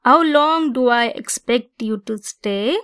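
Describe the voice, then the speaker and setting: Female centaur for ASMR roleplay, played by a woman: possessive yet caring, lightly teasing, higher-pitched, very unhurried delivery. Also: a bit bright, clear, resonant tone. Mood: gently teasing. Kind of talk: ASMR roleplay, scripted monologue.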